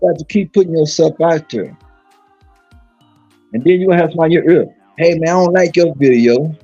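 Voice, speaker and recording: A man from Dallas speaks with a husky, mellow voice. He tells his stories emphatically, circling back and repeating phrases with lots of restarts. The stream audio is dull and lo-fi. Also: fairly high pitch, fairly quick, faint music behind. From Dallas, Texas. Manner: repetitive, emphatic storytelling with many restarts. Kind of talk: casual live-stream chat.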